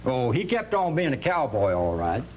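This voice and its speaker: Animated folksy cowboy storyteller. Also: male voice, mid-range voice, normal speed. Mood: jovial, folksy. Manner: folksy drawl, chuckling, animated. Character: folksy Texan cowboy storyteller, jovial tall-tale spinner. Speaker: guest storyteller. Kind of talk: tall-tale storytelling in an educational radio drama. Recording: hum and faint background noise.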